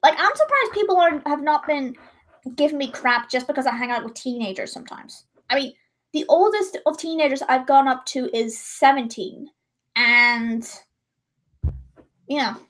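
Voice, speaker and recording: High-voiced young woman rambling on stream. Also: animated, normal speed, a bit bright. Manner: rambling, self-correcting, chatty. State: voice gone high and strained. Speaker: livestreamer. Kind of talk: casual chat while drawing.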